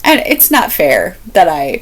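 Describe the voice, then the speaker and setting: Slow-paced woman with very lively pitch swings. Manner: emphatic, repeats phrases for stress; candid, expressive, laughs while talking. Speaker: book reviewer vlogger. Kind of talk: casual chatty book review vlog.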